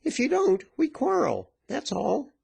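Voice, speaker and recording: Man putting on a shrill, brazen, bullying woman. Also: big pitch swings, normal speed, gravelly, a little mellow, husky, exaggerated voice. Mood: menacing, coaxing. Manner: brash, taunting, exclamatory. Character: loud, bullying virago, brazen rough woman. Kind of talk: dramatized storybook reading.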